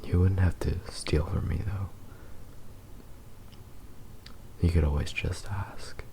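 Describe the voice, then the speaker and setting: A man's voice: low-pitched, steady tone, warm tone, close to an everyday voice. Man playing a whispering, doting fox boy lover. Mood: tender, devoted. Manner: slow, tender, intimate murmuring with pauses. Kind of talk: whispered ASMR roleplay.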